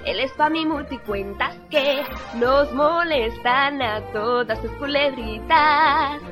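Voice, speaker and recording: A bubbly, eager young prefect who loves to sing, acted by a woman in an amateur radio play. The voice is very high and cheerful, with music clearly behind it. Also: takes it slowly. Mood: rousing. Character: perky, cheerful singing school prefect.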